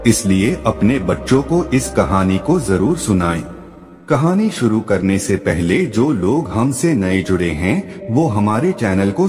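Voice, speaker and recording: Low-pitched man storytelling in long reverb. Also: darkish tone. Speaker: storyteller and channel host. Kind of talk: narrated moral folk tale in simple spoken Hindi. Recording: long reverb, music underneath. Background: background music.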